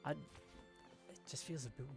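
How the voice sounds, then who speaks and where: A man's voice: normal speed, music in the background. A man giving casual gameplay commentary as a gaming streamer.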